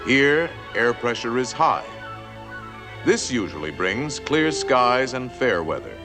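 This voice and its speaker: A man narrating an animated science film in a scripted, theatrical storytelling style. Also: mid-range voice, slow pace. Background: music.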